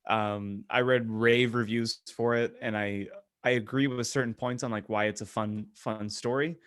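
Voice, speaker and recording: A man co-hosting a podcast, giving opinions in casual, blunt and somewhat halting conversational speech. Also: mid-range voice, steady tone, a bit bright. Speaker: podcast co-host.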